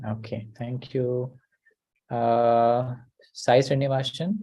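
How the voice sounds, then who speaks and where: A man's voice: mid-range voice, level tone, slow, mellow, lo-fi sound. Give me a hesitant man leading an online class. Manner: hesitant, full of uh and you know. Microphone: video call.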